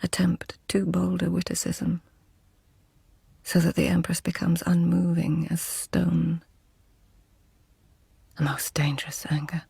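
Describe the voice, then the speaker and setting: An actress playing a proud, witty lady-in-waiting of tenth-century Japan in a radio drama, hushed and measured, with formal poetic phrasing. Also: lowish voice, level tone, exaggerated voice. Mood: incantatory, intense. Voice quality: breathy, hushed. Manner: measured, courtly, pointed.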